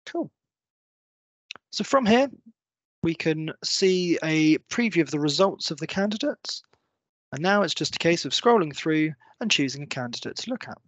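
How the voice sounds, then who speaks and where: A man in his thirties with a slightly high voice narrates a screen-share tutorial. He explains each step in an orderly, instructional way. The sound is close and dry, a little dull, as if from a computer mic in a small room. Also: lively intonation, moderate pace, a bit bright, no room sound. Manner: clear, step-by-step explanatory delivery. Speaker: company presenter giving a software walkthrough.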